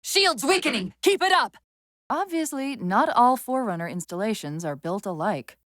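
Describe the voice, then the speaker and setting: Animated, high-voiced, urgent AI companion. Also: female voice, normal speed, no echo, studio quality. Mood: focused. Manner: crisp, technical, commanding, dryly wry. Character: female AI companion, urgent, sharp, wry military guide. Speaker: video game voice actor. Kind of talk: video game dialogue, tactical callouts.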